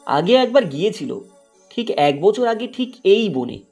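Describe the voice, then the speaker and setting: A man's voice: high-pitched, very animated, moderate pace, a little mellow, faint music behind. A man narrating a scripted horror story in a dramatic, storytelling way.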